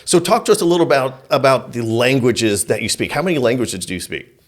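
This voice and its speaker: A man's voice: medium pitch, animated, quick pace. A man hosting a church podcast, talking in a loose, rambling way with many fillers and repeated words. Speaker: podcast host. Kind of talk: casual interview chat.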